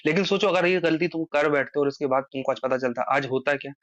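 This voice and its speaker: A man's voice: a little high, level tone, fast, dry recording. A man on a phone call, earnestly explaining his side at length in casual conversation, his voice rising for emphasis. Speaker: social media creator on a phone call. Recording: muffled dry phone-call recording.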